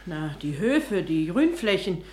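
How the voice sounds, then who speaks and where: An actress playing a plain, determined household maid in a German radio drama. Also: female voice, fairly low pitch, sing-song, slow, darkish tone. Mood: determined, uneasy.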